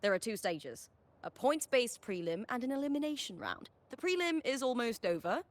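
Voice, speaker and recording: Game role: spirited young female gladiator, confident and comradely, bright-spirited swings in a highish register. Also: very animated, normal speed, no echo. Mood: confident, explaining. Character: confident, spirited young woman gladiator, friendly battle partner. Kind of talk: video game voice acting.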